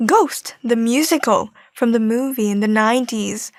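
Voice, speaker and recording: A woman's voice: fairly high pitch, moderate pace, dry recording, studio quality, close to an everyday voice. A young woman playing a confident, chatty young singer in an audio drama. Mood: animated, chatty. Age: young adult. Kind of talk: scripted audio drama dialogue.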